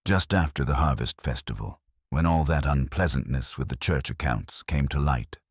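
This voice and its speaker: A man's voice: extremely low pitch, normal speed, slightly rough, no room sound, studio quality. A man voicing a formidable, self-important older woman who gossips with precise authority in a mystery story. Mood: satisfied, gossipy.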